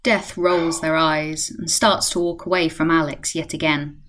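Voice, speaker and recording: A woman's voice: sits low, steady tone, a little slow, a bit bright. A woman playing the composed narrator of a radio play, reading scripted present-tense storytelling. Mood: measured.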